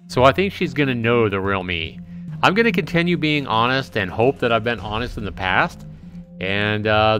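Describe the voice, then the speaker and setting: An animated man hosts a casual game livestream over background music. His mid-pitched voice swings widely as he reads out dialogue and exclaims to his viewers. He talks to the chat directly and informally. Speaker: livestream host playing a visual novel.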